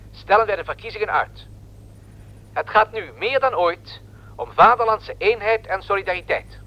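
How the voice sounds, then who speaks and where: A man playing a solemn radio news correspondent who formally declaims political statements, in a dull radio recording. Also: sits high. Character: solemn male New York radio correspondent quoting the president's rousing speech.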